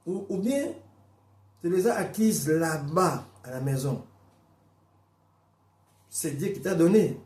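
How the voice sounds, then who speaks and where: A man preaching a sermon in as a Portuguese first-language speaker, emphatic, repeating and restarting phrases, swinging between slow stressed words and quick bursts. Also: sits high, dark tone, roomy sound. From Portuguese-speaking Africa.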